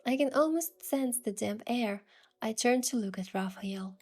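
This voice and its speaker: A young woman gently narrating a romantic story in the first person as its heroine, in a soft, hushed voice. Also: a little high, measured pace, soft background music.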